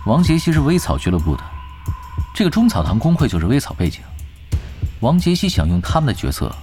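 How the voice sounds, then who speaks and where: A relaxed gamer who explains things. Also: male voice, mid-range voice, fast talker, soft background music. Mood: explaining.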